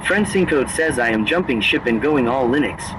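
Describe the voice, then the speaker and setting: A male text-to-speech voice reading out viewer chat messages in a flat synthetic delivery. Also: medium pitch, moderate pace, noisy background.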